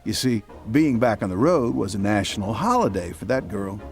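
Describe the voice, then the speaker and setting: Wry folksy narrator, scripted audio drama, creaky voice, soft music underneath. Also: male voice, on the low side, expressive, moderate pace. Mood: wry, measured. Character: wry, folksy storyteller introducing a period radio play.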